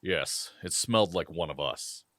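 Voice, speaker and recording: A man plays a devoted werewolf guard in an audio roleplay. His voice is very deep and his manner gruff and laconic. It is recorded close and dry. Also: animated, unhurried, a bit bright, dry recording. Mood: serious.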